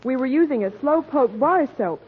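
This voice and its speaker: A chirpy young bride. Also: female voice, high voice, lively intonation, normal speed, breathy edge. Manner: chirpy, enthusiastic ad reading. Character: cheerful young bride in a soap ad. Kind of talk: 1940s radio ad acting. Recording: tinny old radio broadcast recording.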